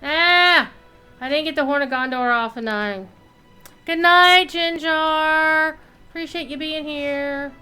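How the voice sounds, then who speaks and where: A woman giving casual live commentary while streaming a game, playful and expressive, with some salty language. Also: sits high, slow, soft background music.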